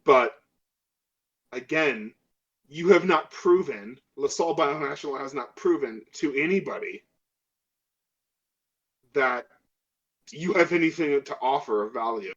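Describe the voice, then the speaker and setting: A man's voice: mid-range voice, animated, unhurried, long pauses, a bit bright. A man playing a skeptical, self-interested crew member in a tabletop role-playing game, arguing emphatically on a dull microphone. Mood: argumentative.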